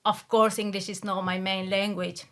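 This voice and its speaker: A woman's voice: mid-range voice, flat delivery, normal speed, fairly bright tone. A woman from Argentina who speaks English with an Argentine Spanish accent. She is a guest on a podcast and talks earnestly, a little self-conscious about her English, laughing easily. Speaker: guest, PhD coach. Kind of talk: podcast interview.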